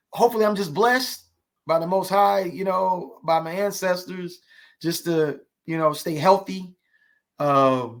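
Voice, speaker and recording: A man speaking as an interview guest, telling stories conversationally with emphatic rhetorical questions and check-ins with the listener. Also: a little high. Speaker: podcast guest, actor.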